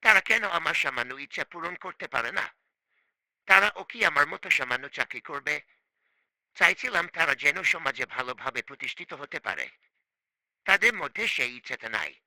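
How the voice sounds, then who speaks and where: Man voicing a stern middle-aged cartoon dad, piercing bright voice, swinging intonation, domineering, complaining. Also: fairly high pitch, sing-song, normal speed, no echo, full character voice, poor audio. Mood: angry, reproachful. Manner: scolding, complaining. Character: stern, frustrated middle-aged father, domineering but loving. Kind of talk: amateur cartoon voice acting.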